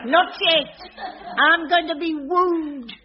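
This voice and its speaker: An actress playing an amorous, demanding aging cleaner in a radio sitcom, with a coy, teasing manner. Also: female voice, very high voice, fairly even intonation, slow, some chatter behind. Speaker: sitcom character. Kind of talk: 1980s radio sitcom acting. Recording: muffled radio broadcast with studio audience laughter.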